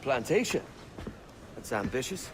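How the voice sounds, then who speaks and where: Wry, roguish pirate captain. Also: male voice, mid-range voice, big pitch swings, unhurried, background noise. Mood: teasing. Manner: wry, sardonic, theatrical. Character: roguish, brash young male pirate captain. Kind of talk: dramatic video game acting.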